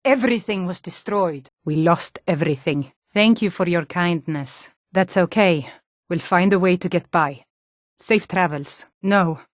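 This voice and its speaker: An actress playing a hardy Nordic woman of a fantasy realm in a video game, speaking in a firm, earnest, deliberate way. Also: on the low side, no echo, studio quality, natural voice. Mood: shaken, sorrowful. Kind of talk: scripted fantasy video game character dialogue.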